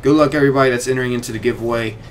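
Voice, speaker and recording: A man's voice: medium pitch, fairly even intonation, very fast, a little hiss or hum. A young man hosting a gaming video with informal, conversational commentary.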